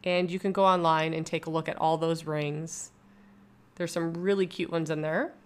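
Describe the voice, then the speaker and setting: A woman's voice: low-pitched, steady tone, normal speed, smooth. A young woman vlogger doing a casual, upbeat voice-over review of a bath product from home. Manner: upbeat, chatty and enthusiastic. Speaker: YouTube vlogger.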